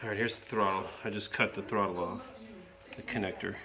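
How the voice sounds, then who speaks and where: A man's voice: fairly low pitch, steady tone, brisk. A man hosting a casual hands-on repair walkthrough in a workshop, chatty and thinking aloud as he goes, with some playful repetition of words. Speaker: DIY video host. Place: workshop or garage, cold day.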